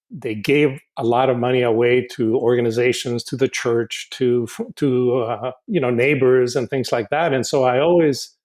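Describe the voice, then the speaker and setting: An older man from Honduras speaks as a podcast guest with a mid-range voice. He talks in a halting, thoughtful way. He often stumbles and repeats words as he gathers his thoughts. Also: mid-range voice, darkish tone. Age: 60s. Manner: halting and reflective, frequent restarts and repeated words. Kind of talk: casual podcast interview.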